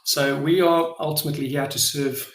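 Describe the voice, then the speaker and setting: A middle-aged man with a South African accent giving an informal, unscripted address as a company founder, hesitant and earnest, often pausing and repeating words. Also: a little high, steady tone, fairly bright tone. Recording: echoey room sound.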